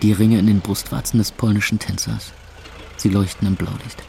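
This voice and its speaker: A man's voice: fairly low pitch, level tone, moderate pace, vocal fry, heavy background noise. An actor playing a wry club owner in his twenties, narrating his night in terse phrases in an audio drama. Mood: tense observing. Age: 20s. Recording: electronic club music behind.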